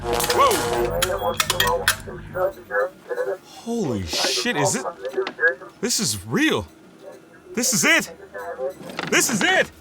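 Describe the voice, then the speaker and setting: A man's voice: sits high, wildly swooping pitch, slow, a loud crowd, heavily acted. A man acting as a sarcastic, exasperated lab assistant in an audio drama, talking colloquially. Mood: astonished. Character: wry, exasperated male lab assistant and old friend.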